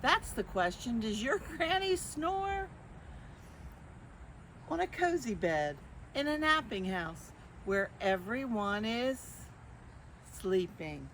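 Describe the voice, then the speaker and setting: An older woman reading picture books aloud to young children, expressively, with drawn-out phrases and questions to her listeners. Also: a little high, very animated, fairly bright tone, a little hiss or hum. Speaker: children's storyteller.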